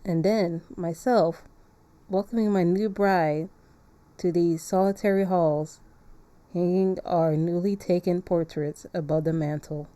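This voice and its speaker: A woman reading aloud as a melancholy gothic painter narrating haunted memories in a visual novel, measured and reflective. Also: on the low side, steady tone, warm tone, no echo, natural voice.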